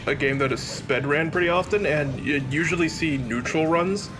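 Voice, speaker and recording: Higher-voiced man chatting over game music. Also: moderate pace. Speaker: let's play commentator. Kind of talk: casual game chat. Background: loud video game music.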